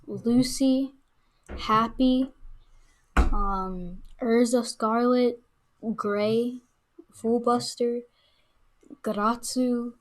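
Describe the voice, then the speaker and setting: A woman chatting casually as a podcast guest, informal and halting as she thinks aloud. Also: a little high, fairly even intonation, low-quality recording. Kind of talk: casual chat.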